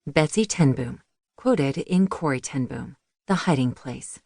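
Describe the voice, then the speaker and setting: Woman narrating, lively pitch, dry sound. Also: unhurried, vocal fry, no echo. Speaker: audiobook narrator. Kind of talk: scripted narration, audiobook memoir reading.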